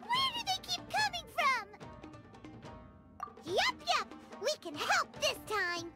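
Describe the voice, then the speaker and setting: A little floating fairy girl for a fantasy game. The voice is extremely high and squeaky, childlike and excitable, full of exclamations. Loud music runs underneath. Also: takes it slowly, heavily acted. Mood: eager. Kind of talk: English video game voice acting.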